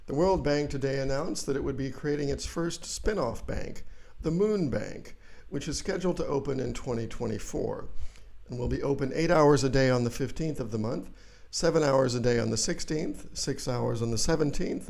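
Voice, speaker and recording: A man playing an earnest, self-serious news anchor, gravelly and deadpan, in a parody news broadcast. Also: mid-range voice, natural voice. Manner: deadpan, grave newsreader delivery.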